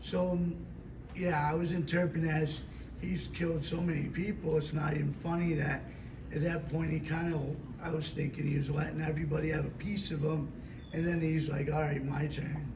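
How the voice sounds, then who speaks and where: A man in the audience asking an actor a long, rambling question, a bit hoarse from allergies. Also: a little high, flat delivery, normal speed. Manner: rambling, loose phrasing. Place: convention hall. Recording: roomy, distant, noisy hall recording.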